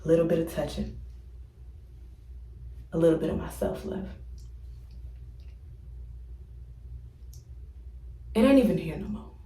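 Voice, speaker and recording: A woman's voice: fairly low pitch, dark tone, echoey room, lightly acted, low-quality recording. A woman performing a theatrical monologue as a wounded woman confronting the lover who left her, pleading and accusing with insistent repetition. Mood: desolate.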